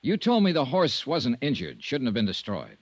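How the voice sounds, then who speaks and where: An actor playing a dogged, wry insurance investigator in old radio noir, direct and skeptical. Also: male voice, mid-range voice, big pitch swings, moderate pace, no echo. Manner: hard-boiled, direct, skeptical. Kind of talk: 1950s radio noir acting and narration.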